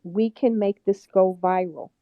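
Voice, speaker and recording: A woman's voice: mid-range voice, fairly even intonation, a little slow, dry recording, muffled recording. A woman rallying listeners in an online audio chat room, upbeat and direct as she lays out a plan. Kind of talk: call to action in a live audio chat.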